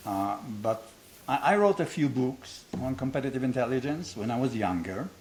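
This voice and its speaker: A man's voice: medium pitch, expressive, normal speed, darkish tone, low-quality recording. Older Israeli-accented man, blunt, provocative host, dry self-mocking humor, faint hiss on the recording. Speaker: host, founder of a training academy. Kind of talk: unscripted conversation.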